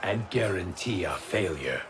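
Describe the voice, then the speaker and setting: Deep-voiced, scheming sorcerer. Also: male voice, lively intonation, a little slow. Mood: urgent. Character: cunning, haughty male sorcerer with a scheming temper. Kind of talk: video game cinematic acting. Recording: dramatic music behind the voice.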